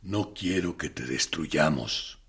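An actor playing a harsh male military captain interrogating a prisoner in a radio drama, with a gruff manner. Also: rumbling low, expressive, takes it slowly, fairly bright tone, full character voice. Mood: coaxing. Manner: gruff, swinging between coaxing and angry outbursts.

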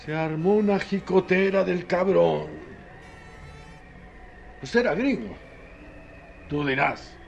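An actor playing a rustic, gossipy Mexican countryman in a radio drama, telling things in a halting, repetitive, folksy way. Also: sits high, expressive, gravelly, highs cut off, soft background music, exaggerated voice. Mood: grim gossip. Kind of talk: radio drama acting, colloquial storytelling.

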